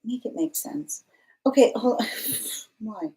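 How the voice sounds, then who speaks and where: A woman's voice: fairly high pitch, animated, slow pace, dark tone, rough recording. A woman giving a live-streamed tarot reading, thinking aloud, reading viewer comments out and often restarting her phrases. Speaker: tarot reader.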